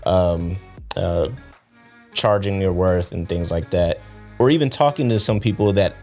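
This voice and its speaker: Deep-voiced, rambling podcast guest man. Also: unhurried, faint music behind. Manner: relaxed, rambling with many fillers. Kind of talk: casual podcast chat.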